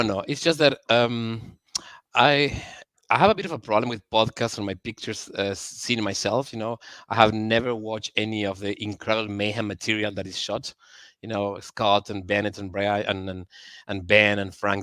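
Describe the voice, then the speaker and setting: Bright-toned, laughing male guest. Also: lowish voice, little pitch movement, normal speed, dry recording. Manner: self-deprecating, laughs often. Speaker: podcast guest. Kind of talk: casual podcast chat.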